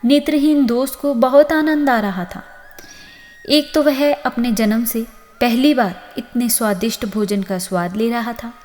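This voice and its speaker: A woman narrating a scripted moral story as host, with an expressive storytelling delivery. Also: a little high. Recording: long reverb on the voice.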